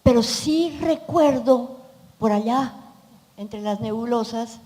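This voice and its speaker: An older woman from Colombia with a Colombian accent, asking a question from the audience in a measured way with pauses. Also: a little high, mellow. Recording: echoey hall.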